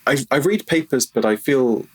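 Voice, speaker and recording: A man's voice: mid-range voice, animated, normal speed, breathy edge. A man chatting informally as a technical interview guest, often stopping to rephrase himself as he goes. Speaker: guest, freelance audio software developer. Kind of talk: casual technical interview chat.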